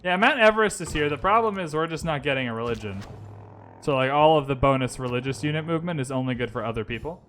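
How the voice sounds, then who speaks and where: Man, gaming streamer, bright tense voice, slightly high, chatty, talks moves through aloud. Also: lively intonation, fast, slight background noise. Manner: chatty, thinks aloud, chats with viewers. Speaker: streamer playing a strategy game. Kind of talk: casual gaming commentary. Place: lives in Vancouver.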